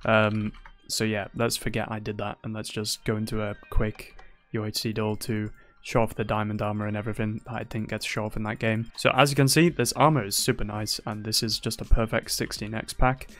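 A man's voice: on the low side, little pitch movement, brisk, a bit bright. A young man giving casual, playful gameplay commentary as a gaming YouTuber, teasing opponents and boasting jokingly, his voice a little worn. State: slightly losing his voice.

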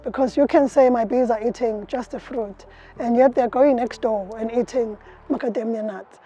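Lady beekeeper, kinda high mellow voice, outside, soft music under it. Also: moderate pace, breathy edge, dull audio. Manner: explanatory, with frequent fillers and restarts. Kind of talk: interview answers. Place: outdoors in an orchard.

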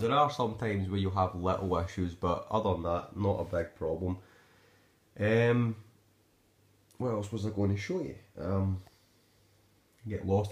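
Man, Scottish accent, low-pitched voice, off-the-cuff reviewer, rambling, roomy echo. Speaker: amateur gadget reviewer. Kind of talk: casual unscripted video review. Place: indoors in a living room at home. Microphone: handheld phone camera. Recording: echoey living room.

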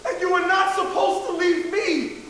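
A man's voice: extremely high pitch, steady tone, normal speed, bright, forceful tone, very echoey, big-room sound, distant mic, a little hiss or hum, low-quality recording. An actor playing an anguished, volatile man in an intense dramatic confession monologue. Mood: anguished rage.

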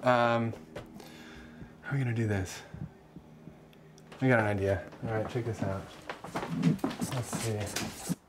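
A man's voice: lowish voice, fairly even intonation, takes it slowly, long pauses. A man in his twenties filming a vlog, joking and self-deprecating as he calls out to people on the street. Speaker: vlogger doing a public stunt. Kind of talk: casual self-filmed vlog. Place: indoors then on a busy city street. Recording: street noise behind the voice. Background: traffic and street noise.

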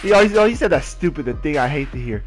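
A young American man casually telling personal stories in a slangy, repetitive, animated way. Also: fairly high pitch, fast talker. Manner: slangy, repetitive, animated retelling with acted quotes. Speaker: gaming video storyteller. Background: background music with guitar.

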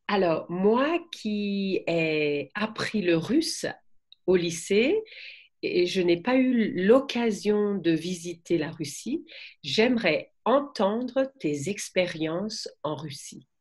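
A woman's voice: mid-range voice, animated, slow, a little mellow. A middle-aged woman hosting a podcast over a video call, asking curious, encouraging questions from a room at home. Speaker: podcast host. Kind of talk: conversational interview.

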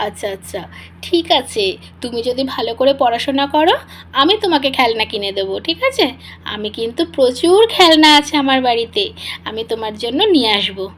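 Woman playing a soft-spoken young female tutor. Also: fairly high pitch, expressive, brisk. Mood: coaxing. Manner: soft-spoken, courteous and coaxing. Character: polite, reassuring young female private tutor. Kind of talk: doll-play family story acting.

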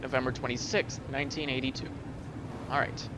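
A man's voice: medium pitch, level tone, brisk, heavy background noise. A man giving casual gameplay commentary, rambling and thinking aloud as he plays. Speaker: gaming video host.

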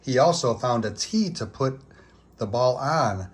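Guy reading a kids book out loud, really slow and expressive. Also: mid-range voice. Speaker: children's book reader. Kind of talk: storybook read-aloud.

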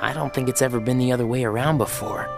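A man's voice: mid-range voice, fast talker, gravelly, close to an everyday voice. Brooding teen boy voiced by a man, mid voice, music under it. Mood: sincere. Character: sincere, brooding teenage boy. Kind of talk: video game cutscene acting.

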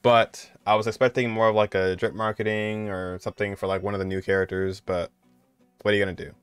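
Low, smooth-voiced young man. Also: flat delivery, fast talker, no echo, soft background music. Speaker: video creator reacting to a game trailer. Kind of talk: casual reaction commentary.

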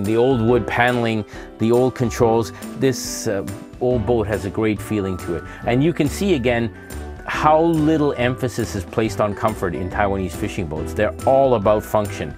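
A man's voice: on the low side, moderate pace. A man in his forties presenting a travel show on a fishing boat, explaining things to camera with a lapel mic. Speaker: show host visiting fishermen. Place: fishing boat and indoor seafood market. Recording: with background music.

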